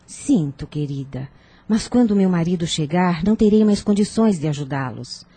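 A genteel, controlling older aunt in a period radio drama. Her lowish voice is husky and very mellow, formal and insistent in its persuasion. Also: expressive, normal speed, no echo. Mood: decisive, coaxing. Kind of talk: radio soap opera acting.

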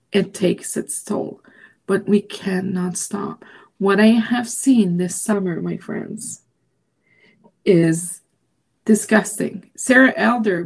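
A woman from Quebec whose first language is French, co-hosting a political talk podcast and speaking emphatically, her voice rising into high, outraged questions. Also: vocal fry, breathy edge, rough recording. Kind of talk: impassioned political chat.

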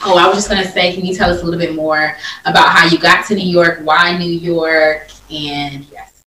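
A woman with a lowish voice co-hosts a casual chat. She sounds set back from the microphone in an echoing room, with faint noise behind her. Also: steady tone, normal speed, pushed and bright. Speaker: podcast co-host. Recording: echoey room, distant mic, faint noise.

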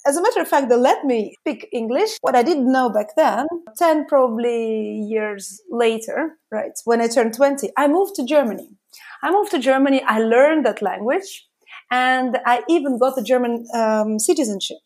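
A woman with a Russian accent talks as a podcast guest, her voice sitting a bit high. She tells stories in a lively, casual way, dotted with fillers. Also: moderate pace. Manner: lively storytelling with frequent fillers. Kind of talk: casual interview conversation.

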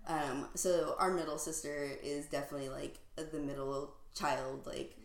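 A woman hosting a podcast, chatty and quick to laugh, interviewing a guest in the guest's home. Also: sits low, flat delivery, vocal fry. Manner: warm-hearted, chatty, laughs often. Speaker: podcast host. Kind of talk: casual interview conversation. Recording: echoey home room.

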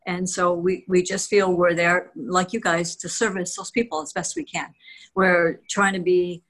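A low-pitched older woman speaks with the easy authority of a veteran real estate agent. She chats at length in a relaxed, self-mocking podcast conversation. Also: moderate pace, poor audio. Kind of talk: casual podcast chat.

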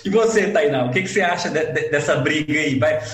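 Brief: man from Minas Gerais, talk-show host, high voice, roomy studio sound, easygoing delivery. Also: brisk. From Minas Gerais, Brazil. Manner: casual, conversational. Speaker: radio host.

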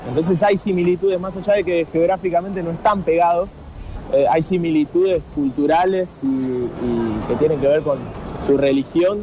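A man with an Argentine accent reporting live in an informal, halting way, repeating himself as he goes. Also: on the high side, mellow, rough recording. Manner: informal, colloquial, halting with repetitions. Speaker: on-location sports reporter. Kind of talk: live unscripted match-day report. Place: outdoors in a city by a metro. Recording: clear outdoor urban traffic noise.

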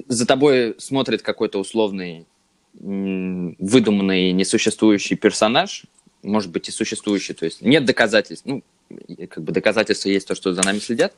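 Young man, low voice, dry close sound, hesitant, lots of fillers. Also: no room sound. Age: 20s. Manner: hesitant, thinks aloud with many fillers. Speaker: podcast co-host. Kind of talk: casual podcast chat.

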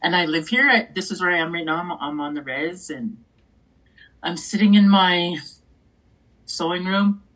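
An older woman from Canada, a podcast guest speaking warmly and earnestly from her sewing room at home. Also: lowish voice, normal speed, long pauses. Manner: warm, earnest. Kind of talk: casual conversation.

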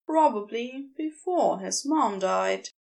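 A woman narrates a piece of fiction in a high voice. Her delivery is very animated in pitch and unhurried, measured like careful audiobook storytelling. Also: creaky.

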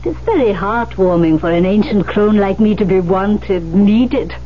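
An actress playing a devoted, solemn old piano teacher in a 1970s radio drama, speaking with affectionate emphasis. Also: female voice, medium pitch, lively intonation, measured pace, a little hiss or hum, lightly acted. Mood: tender. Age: older. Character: old female piano teacher, self-styled ancient crone, devoted, mystical. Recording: dull 1970s radio recording, music behind.

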